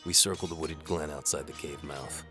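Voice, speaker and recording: Very deep-voiced male dramatic narrator over music. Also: fairly even intonation, moderate pace. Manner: dramatic, wry, hard-boiled. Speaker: narrator of an audio drama, telling it as the hero. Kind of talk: scripted first-person adventure narration. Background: music under the voice.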